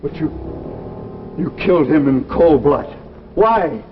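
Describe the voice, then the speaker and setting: Muffled old radio drama with music: haunted, lovesick American man, unhurried and pleading. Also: fairly high pitch, animated. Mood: horrified. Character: despondent lovelorn husband, haunted and pleading. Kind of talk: 1950s radio drama acting. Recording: muffled vintage 1950s radio recording, music behind.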